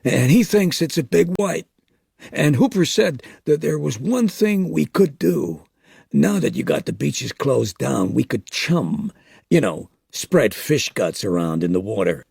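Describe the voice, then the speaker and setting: A man's voice: fairly high pitch, big pitch swings, a little slow, creaky, darkish tone, slightly rough, dry recording, clean studio sound, pitched above the performer's own voice, exaggerated voice. Man doing a chatty small-town newspaperman. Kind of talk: audiobook character reading.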